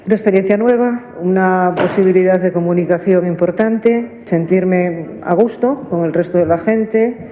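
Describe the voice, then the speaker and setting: A man's voice: high voice, normal speed, dark tone. A man giving a short personal testimonial. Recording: tinny playback in echoey hall.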